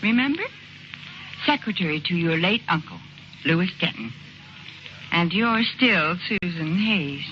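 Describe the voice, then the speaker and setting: An actress playing a sly, pushy blackmailer in vintage radio drama style, pointed, self-assured and coolly threatening. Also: female voice, fairly low pitch, animated, unhurried, long pauses. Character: sly, pushy female blackmailer, ambitious and cool. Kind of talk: 1940s radio acting. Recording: muffled, noisy old radio recording.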